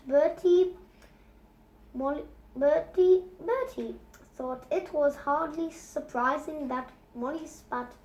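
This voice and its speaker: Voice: high voice, darkish tone, reverberant, poor audio. A child reading a children's storybook aloud, carefully, with stumbles and repeated words.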